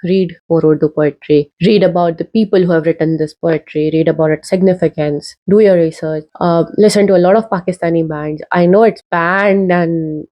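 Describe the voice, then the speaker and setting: A young woman with an Indian English accent makes an earnest, casual video essay to camera, with fillers and pauses as she urges viewers. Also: lowish voice, steady tone, dull audio. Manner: earnest, persuasive, many fillers. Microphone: talking to camera.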